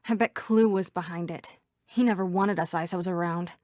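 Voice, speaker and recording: A woman's voice: on the low side, fairly even intonation, moderate pace, darkish tone, no room sound, studio quality, natural voice. A woman voicing a female computer program citizen in a video game. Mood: wary.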